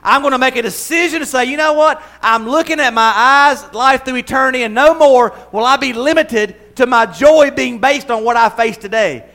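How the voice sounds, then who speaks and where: A man's voice: very high-pitched, normal speed, few pauses, pushed and bright. An older man preaching a conversational sermon as pastor, mixing humor with emphatic, building repetition. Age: 60s.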